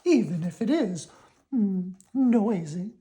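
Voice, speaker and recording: Woman putting on a high, polite little lady worm. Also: expressive, slow, warm tone. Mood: gently frank. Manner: polite, slightly hesitant. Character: Squirmy, small polite female country worm visitor.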